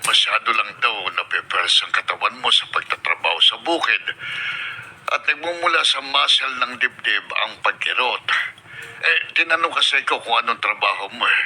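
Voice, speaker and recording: A fretful caring older farmer. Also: male voice, mid-range voice, lively intonation, moderate pace, pushed and bright. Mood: reassuring. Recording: noisy radio broadcast.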